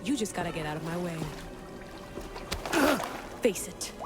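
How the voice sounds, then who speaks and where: A woman's voice: on the low side, measured pace, over loud music, lightly acted. A woman playing a defiant, headstrong young female assassin with emotional intensity in a video game cutscene.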